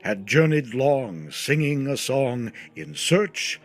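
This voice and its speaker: A man giving a theatrical poetry recitation in a declamatory, deliberate manner with drawn-out phrases. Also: mid-range voice, lively intonation. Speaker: poetry reader. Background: music.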